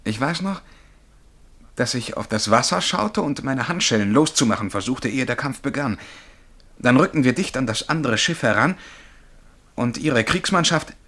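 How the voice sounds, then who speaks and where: A haunted young man tells of his dream life as a chained galley slave. His pitch swings widely and the telling is vivid and intense, with halting searches for words. It is dramatic radio play storytelling. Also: expressive, a bit bright. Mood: haunted, absorbed.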